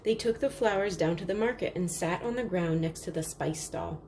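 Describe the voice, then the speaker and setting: A woman reading a children's story aloud in a measured, expressive storytelling manner. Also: on the low side. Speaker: storybook reader. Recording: clearly noisy background.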